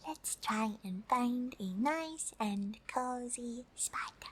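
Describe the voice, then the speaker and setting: A woman's voice: a little high, animated, extremely slow, slightly rough, exaggerated voice. A woman voicing a friendly, polite alien who is curious about humans, speaking hesitantly in an ASMR roleplay. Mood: soft, pleased. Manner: hesitant, speaks of self in third person.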